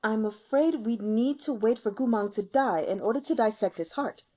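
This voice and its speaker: A woman's voice: fairly high pitch, normal speed, dark tone, close to an everyday voice. A woman playing a timid, fearful elder male court healer who speaks formally and hesitantly. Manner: nervous, hesitant, stammering, formal.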